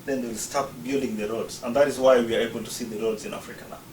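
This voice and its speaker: A man from Uganda lays out his argument step by step in a prepared talk. His voice has a middling pitch and is picked up from some way off the mic. The room adds a noticeable echo, and there is a faint hum of ambience behind him. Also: fairly even intonation, fast talker, darkish tone, recorded from a distance. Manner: explanatory, lists points one by one. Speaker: speaker.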